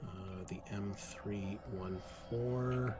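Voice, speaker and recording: A man's voice: on the low side, steady tone, extremely slow. Man running a tabletop game over music. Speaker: game master. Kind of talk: casual narrating of a tabletop game. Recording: music playing behind the voice.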